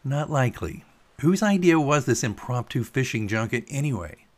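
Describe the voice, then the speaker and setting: A man's voice: medium pitch, expressive, normal speed, fairly bright tone, lightly acted. A man playing a grouchy, restless man who grumbles with irritation, in a narrated story with music behind him. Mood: irritated. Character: grouchy, restless man nursing martinis on a boat.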